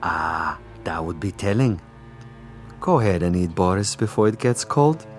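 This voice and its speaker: A cold, sadistic commissar in a radio drama, with a very low voice and slow, savoring delivery. He is pompous and gloating, a self-satisfied master of deadly games. Music plays underneath. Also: expressive. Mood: smug, taunting. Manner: pompous, gloating, theatrically deliberate.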